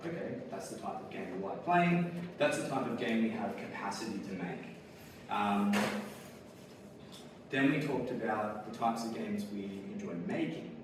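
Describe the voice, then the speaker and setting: A man with a lowish voice gives a relaxed talk to a room, his words padded with ums and light laughs. He sits well back from the microphone, so his voice rings around a big echoey hall with a steady background hiss. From Australia. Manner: casual, many fillers and laughs. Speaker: board game designer. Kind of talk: conference talk. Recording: echoey hall, speaker far from mic, audible noise.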